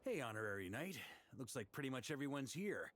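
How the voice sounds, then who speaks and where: A frank knight leader. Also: male voice, mid-range voice, big pitch swings, brisk, a bit bright. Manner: friendly and direct, briefing others. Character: commanding male knight leader, calm and frank. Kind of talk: game voice acting.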